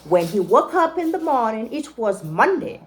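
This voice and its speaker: A woman reading a picture book aloud to young children as a teacher, pausing to check in with her listeners. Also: fairly high pitch, sing-song.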